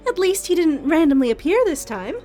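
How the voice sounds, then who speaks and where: A bright-eyed, nervous young female master of ceremonies acted by a woman, pitched very high. She is hesitant, curious and eager to please, an audio drama character with light music beneath her. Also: very animated, fairly quick. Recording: music bed under the voice.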